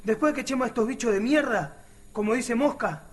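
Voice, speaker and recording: Obrero miliciano argentino, voz aguda. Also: male voice, very high voice, fairly quick. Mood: earnest, hopeful. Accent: Argentine, Rioplatense. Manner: colloquial, slangy. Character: earnest, outspoken working-class male resistance militiaman. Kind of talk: radio drama dialogue.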